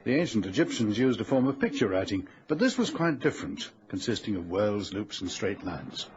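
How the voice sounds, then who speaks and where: An actor playing a courteous, learned gentleman archaeologist in a period radio drama, speaking formally and precisely. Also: lowish voice, sing-song, fairly quick. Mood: absorbed, explanatory. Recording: muffled radio drama with faint background noise.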